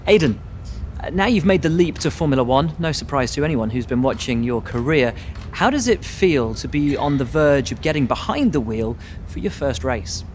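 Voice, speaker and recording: A man's voice: mid-range voice, animated, normal speed, darkish tone, slight background noise, lightly acted. An actor playing a probing male press interviewer in a game cutscene.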